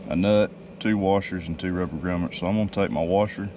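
A man, casually explaining a how-to job in a driveway with a relaxed, folksy manner and many fillers. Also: low-pitched, fast talker. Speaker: DIY video host. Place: outdoors in a driveway. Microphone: camera mic. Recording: distant outdoor camera mic with noise.

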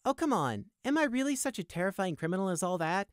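Man playing young pony prisoner, high lifted voice, very animated, flippant, mocking, storybook character. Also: moderate pace, dry recording, clean studio sound. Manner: flippant, needling, theatrical. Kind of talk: audiobook character acting.

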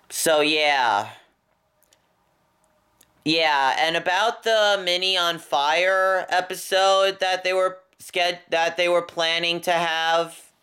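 A young man talking casually and unscripted to a camera in a bedroom, halting and repeating his phrases. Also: fairly high pitch, bright, forceful tone, clear, resonant tone. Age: young adult. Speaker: vlogger. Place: small furnished bedroom, indoors. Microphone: camera at about arm's length.

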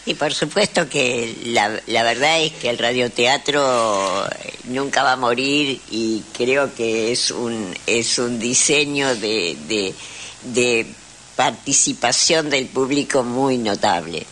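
Man, Argentine accent, forceful bright tone, casual radio guest, faint hiss. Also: medium pitch, takes it slowly.

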